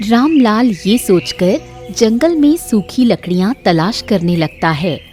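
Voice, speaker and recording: A woman narrates a folk tale in a scripted storytelling style. Her voice sits at an average female pitch, with light music playing underneath. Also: expressive, slow pace, a little mellow.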